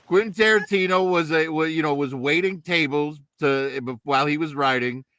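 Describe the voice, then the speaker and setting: A man's voice: fairly high pitch, normal speed, fairly bright tone, dry recording, studio quality. A man with an Italian accent, talking in a put-on character voice as a guest in a casual chat.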